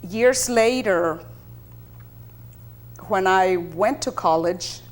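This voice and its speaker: A woman from South Texas, a native Spanish speaker and bilingual teacher, recalling her life as an interview guest in a measured, deliberate way. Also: mid-range voice. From South Texas, United States. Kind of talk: personal recollection in an interview.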